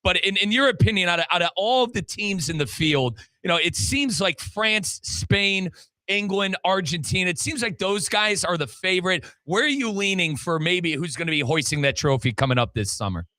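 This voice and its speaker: A man's voice: high-pitched, expressive, normal speed, bright, forceful tone, no echo. A man hosting a casual sports talk show in a chummy, slangy manner. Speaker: sports radio host.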